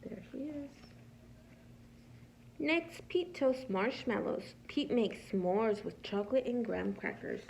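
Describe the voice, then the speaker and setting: A woman preschool teacher reading a picture book aloud to young children in a patient, teacherly way, pausing to ask simple questions. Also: a little high, lively intonation, vocal fry, darkish tone, slight background noise, low-quality recording.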